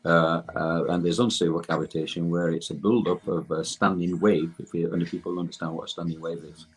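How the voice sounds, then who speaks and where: An older man with a husky voice explains technical material as a guest expert, haltingly and often correcting himself mid-sentence. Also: low voice, steady tone, poor audio.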